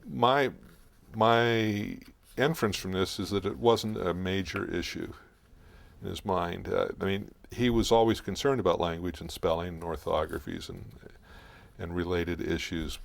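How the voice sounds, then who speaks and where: A man speaking as an expert guest in an interview, explaining in a halting, deliberate, scholarly way. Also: low-pitched, vocal fry.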